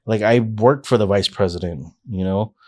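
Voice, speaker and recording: Male guest, 40s, lowish voice, earnest and rambling, lots of restarts. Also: moderate pace, a little mellow. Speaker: podcast guest. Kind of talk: casual life-story interview.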